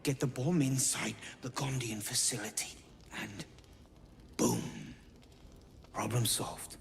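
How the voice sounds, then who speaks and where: A ruthless, commanding bomber from a video game, speaking with cold menace at a middling pitch. There is faint ambient noise around the voice. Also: male voice, takes it slowly, creaky. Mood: cold contempt. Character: ruthless male bomber plotting violent revenge. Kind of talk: video game dramatic dialogue. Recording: noisy ambience behind the voice.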